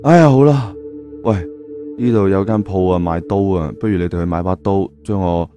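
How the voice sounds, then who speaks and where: A man's voice: low-pitched, brisk, mellow, soft background music, close to an everyday voice. A man voicing an evasive, wheedling young boyfriend in an audio story, hesitant and placating. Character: evasive, wheedling young man caught between two girlfriends.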